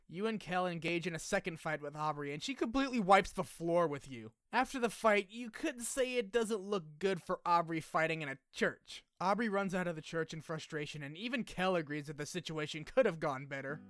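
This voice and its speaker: A man's voice: on the high side, fairly bright tone. A man narrating a video essay in a casual, scripted recap style, with wry, slangy asides. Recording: music under the voice. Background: music.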